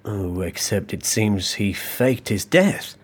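An actor playing a hesitant, puzzled intelligence agent in an audio drama. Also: male voice, on the low side, expressive, slow, slightly rough, heavily acted.

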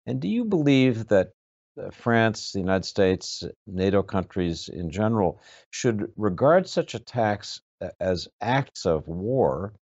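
Low-voiced, deliberate male interviewer. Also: very animated, a little mellow. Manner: deliberate, hesitant phrasing. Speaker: interviewer, moderator. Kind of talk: measured, formal questioning. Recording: dull, lo-fi audio.

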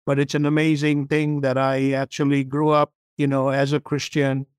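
Smooth, even-toned Sri Lankan-born guest. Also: male voice, mid-range voice, normal speed, no room sound, clean studio sound. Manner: steady, earnest storyteller. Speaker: podcast guest, company CEO. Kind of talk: interview storytelling.

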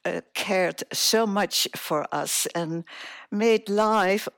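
A woman in her eighties, born in Indonesia, telling her life story as an interview guest, slowly and with many hesitations. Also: mid-range voice, creaky, fairly bright tone, no echo, clean studio sound. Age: 80s. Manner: slow, hesitant, many filler sounds.

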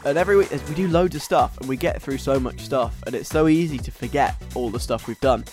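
A British man hosts a radio show with a chatty, conversational delivery. His pitch is middling and his manner is wry and self-deprecating. Light music sits behind him. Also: fairly quick, a little mellow. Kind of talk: casual chatty radio presenting.

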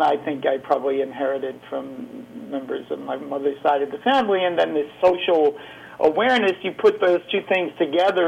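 A middle-aged man giving reflective interview answers in a halting, rambling, self-deprecating way. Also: on the high side, gravelly, lo-fi sound, slight background noise. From grew up in Geneva, Switzerland. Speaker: interview guest, documentary filmmaker.

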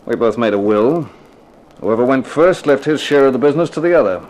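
An actor playing a tough, guarded private eye in a radio crime drama, terse, poker-faced and sardonic. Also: male voice, mid-range voice, lively intonation, brisk, darkish tone, lightly acted. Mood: curt, defiant. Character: tough, guarded hard-boiled private eye, narrating his case. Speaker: actor playing detective and narrator. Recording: noisy old 1950s radio recording.

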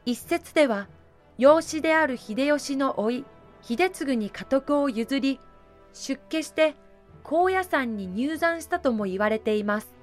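High-voiced female drama narrator. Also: expressive, a little slow, faint music behind. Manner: formal, storytelling. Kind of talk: scripted historical drama narration.

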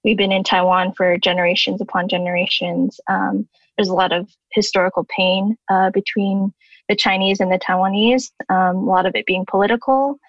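A soft-spoken woman in her twenties talks as a podcast guest in an even, flat tone. The sound is dull and lo-fi, with a dry, close feel. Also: mid-range voice, moderate pace, no room sound. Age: 20s. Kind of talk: reciting her own poem, then casual interview talk.

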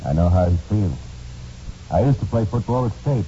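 A man acting in a 1940s radio drama as a kindly, stoic army major, calm, plain-spoken and gently blunt. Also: fairly low pitch, normal speed, soft background music, lightly acted. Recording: muffled, noisy 1940s radio recording.

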